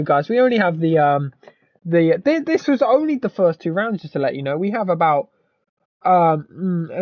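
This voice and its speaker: A man who commentates races, his voice hoarse and strained from shouting, rambling and stammering through a casual wrap-up. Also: fairly high pitch, dry recording. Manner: stammering, rambling, restarts often; swears, laughs at himself. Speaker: race commentator. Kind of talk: casual post-race wrap-up. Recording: dull lo-fi mic.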